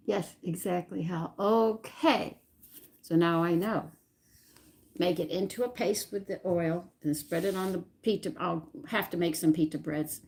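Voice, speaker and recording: A woman's voice: on the low side, a little slow, creaky, a little mellow. A woman hosting a home cooking live stream, chatting casually with viewers and rambling aloud as she searches her memory. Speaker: home cooking channel host.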